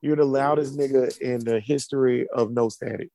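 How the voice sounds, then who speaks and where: A man's voice: mid-range voice, fairly even intonation, normal speed, gravelly, dark tone, dry recording, low-quality recording. A man co-hosting a casual chat show, teasing and swearing freely. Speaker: regular co-host. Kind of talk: casual banter.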